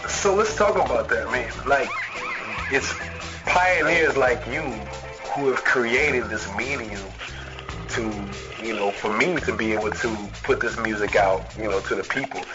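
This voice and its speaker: A man's voice: mid-range voice, unhurried. A man hosting a radio show, asking a guest questions in a laid-back, casual way with many fillers. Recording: dull lo-fi radio audio with loud music bed. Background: music.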